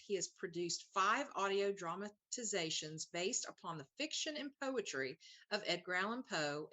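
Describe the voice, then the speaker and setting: A woman's voice: mid-range voice, fairly bright tone. A woman hosting a live launch event, giving introductions and chatting with guests. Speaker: event host.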